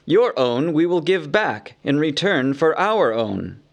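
A man reading an audiobook, voicing a steady, grave human leader who speaks firmly and formally. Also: fairly high pitch, slow pace, vocal fry, pitched above the performer's own voice, full character voice.

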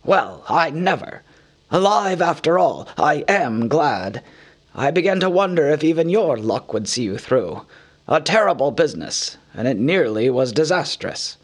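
A man reading an audiobook, voicing an old male wizard with a measured, grave and deliberate manner. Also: fairly high pitch, sing-song. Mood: gruff, grave. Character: old male wizard, gruff and kindly, wounded. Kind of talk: audiobook character voice.

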